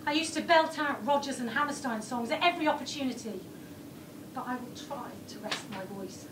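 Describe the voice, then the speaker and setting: Woman, stage storytelling, present tense, hushed, high voice, distant mic. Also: expressive, fairly quick. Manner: present-tense narration, often low and hushed. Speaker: performer. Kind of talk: first-person theatrical storytelling. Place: theatre stage. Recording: distant, echoey room with background noise.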